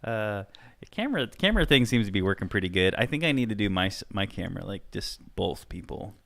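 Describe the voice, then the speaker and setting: A man's voice: on the low side, sing-song, quick pace, gravelly, a bit bright. A man hosting a podcast, rambling casually and making self-deprecating jokes. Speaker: podcast host. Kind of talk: casual chat.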